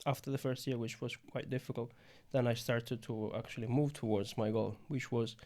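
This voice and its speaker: A young man from Italy speaks English with an Italian accent in a relaxed podcast chat. His voice sits in an ordinary middle register, and he pauses often with fillers while he hunts for the right word. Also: medium pitch. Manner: hesitant, searching for words. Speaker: podcast guest. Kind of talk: casual conversation.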